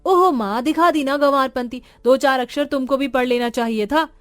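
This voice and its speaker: A woman's voice: high-pitched, moderate pace, dry recording, natural voice. A woman voicing a brash, discontented young village daughter with an eager, whiny manner. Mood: whiny complaining.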